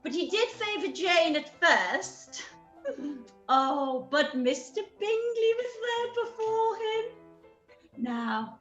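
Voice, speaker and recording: A boastful, gossipy period-drama mother, played by a woman. Her high voice swoops and lingers slowly over words, in an echoey room. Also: sing-song, fairly bright tone, highs cut off, faint music behind, exaggerated voice. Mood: gossipy delight. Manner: gushing, gossipy. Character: gushing, boastful matchmaking mother Mrs Bennet. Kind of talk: period radio play acting.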